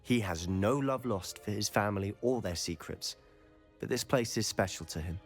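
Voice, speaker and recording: An actor playing a confident, mischievous and secretive teenage male wizard student in a video game, speaking in a measured, confiding manner. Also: fairly low pitch, soft background music, close to an everyday voice. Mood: confiding, earnest. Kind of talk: video game dialogue acting.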